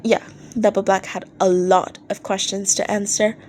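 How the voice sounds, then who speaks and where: A woman reading fan fiction aloud as an expressive amateur audiobook narrator, acting out posts in an animated way. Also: medium pitch, normal speed, slight background noise.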